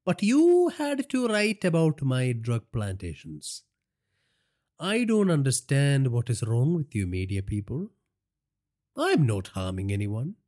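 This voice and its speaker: A man's voice: medium pitch, huge pitch swings, slow pace, a little mellow, pitched above the performer's own voice. A man voicing a gloating middle-aged male gang boss, mocking and sing-song. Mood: menacing.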